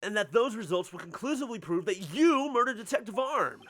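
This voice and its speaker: A woman plays a confident video game defense attorney. The voice is bright and assertive, making composed accusations with deliberate theatrical swings, music underneath. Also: mid-range voice, lively intonation. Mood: accusing. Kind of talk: dramatic video game courtroom reading.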